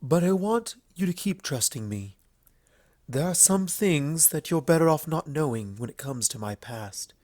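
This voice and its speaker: Guy reading as a solemn pony princess, formal and measured, burdened with guilt, storybook style, really dry sound. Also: on the high side, lively intonation, darkish tone, no room sound. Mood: earnest. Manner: formal, measured, grave speech. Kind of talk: fan fiction storybook reading.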